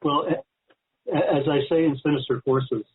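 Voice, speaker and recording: An American man, an author answering as an interview guest, explaining in measured, storytelling phrases. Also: on the high side, fairly even intonation, slightly rough. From United States. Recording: narrow phone-line sound.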